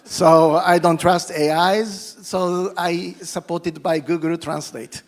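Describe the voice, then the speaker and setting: A middle-aged man speaks English with a Japanese accent at a formal speech, his voice gravelly and set a little high. He works through the words haltingly, restarting phrases and slipping in self-deprecating jokes. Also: steady tone. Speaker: politician giving a guest speech.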